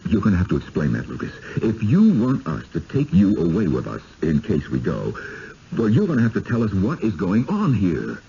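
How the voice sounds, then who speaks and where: An actor playing a blunt, impatient, skeptical husband in a 1970s radio drama, with a brusque way of talking. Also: male voice, deep voice, wildly swooping pitch, moderate pace, creaky, warm tone, heavily acted. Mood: firm, impatient. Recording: noisy, muffled old radio broadcast.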